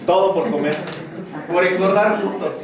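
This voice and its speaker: A man's voice: high-pitched, moderate pace, crowd noise. A man with a Mexican Spanish accent teaching a university math class, chatty and joking between explanations. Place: large classroom. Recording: echoey classroom, distant, students murmuring.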